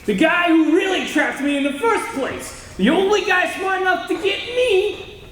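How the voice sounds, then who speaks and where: A woman playing a shouting condemned killer. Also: extremely high pitch, moderate pace, slight background noise. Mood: defiant. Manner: shouting threats, bitter. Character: defiant convicted male killer, threatening the court. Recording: echoey hall, live stage.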